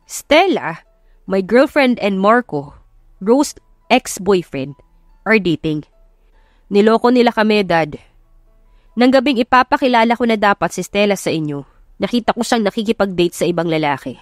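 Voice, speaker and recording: A woman's voice: mid-range voice, animated, normal speed, long pauses, dry recording, clean studio sound, soft background music, close to an everyday voice. A woman voicing an earnest, emotional young man in a romance audiobook, switching between Tagalog and English. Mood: defiant, confessing. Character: Samuel, earnest wealthy young man defying his parents. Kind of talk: audiobook romance drama acting.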